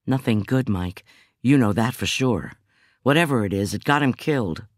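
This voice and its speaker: A woman's voice: rumbling low, lively intonation, moderate pace, no echo, studio quality. A woman voicing a strong-willed, weary and defensive woman prosecutor who protests emotionally in an audiobook. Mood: incredulous, pressing. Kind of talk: audiobook character dialogue.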